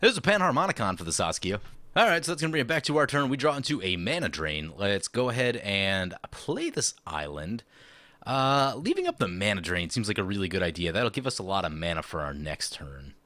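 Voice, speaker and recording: A man with a slightly hoarse, lowish voice talks through a card game as he plays it, weighing each move aloud. His delivery swings widely in pitch, and his tone is bright and forward. The sound is close and dry. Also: big pitch swings, a little fast, no echo. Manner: thinks aloud through his moves, chatty and explanatory. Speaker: channel host. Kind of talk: play-by-play card game commentary.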